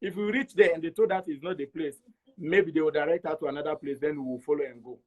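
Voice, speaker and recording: Higher-voiced Togolese man telling his story. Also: fairly even intonation, fast talker, narrow, tinny audio. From Togo. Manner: repeats words for emphasis, acts out quoted dialogue. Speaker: interview guest. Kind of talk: animated personal storytelling.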